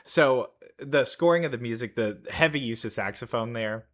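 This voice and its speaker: A man's voice: mid-range voice, normal speed. A man giving casual reaction commentary on game trailers, thinking aloud in loose, informal sentences. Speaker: reaction video host. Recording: music playing behind the voice. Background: game trailer music.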